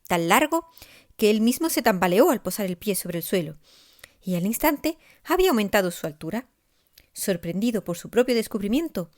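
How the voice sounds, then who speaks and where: A woman narrating a scripted children's story expressively, with deliberate emphasis. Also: mid-range voice. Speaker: author narrating her own story.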